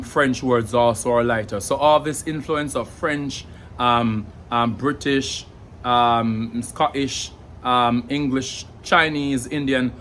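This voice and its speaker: A man's voice: mid-range voice, fairly bright tone, slight background noise. A young adult man with a Jamaican accent, explaining in a casual, thoughtful way to camera, with many fillers and repeated words. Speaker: language vlogger.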